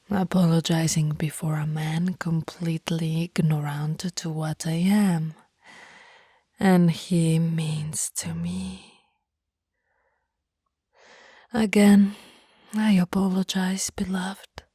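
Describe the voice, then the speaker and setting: A woman performs a female vampire in love, formal and devoted yet predatory, for an ASMR roleplay. Her voice is low-leaning and dark, often dropping into a breathy, husky whisper. She speaks theatrically, with lingering pauses, close to the microphone. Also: no echo, exaggerated voice. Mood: flustered, apologetic. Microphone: close, dry microphone.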